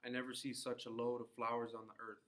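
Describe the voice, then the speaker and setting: Guy doing a moody farmer husband from an old stage drama, gentle but can get indignant, medium pitch. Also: completely flat delivery, fast talker, lightly acted. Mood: gentle, wistful.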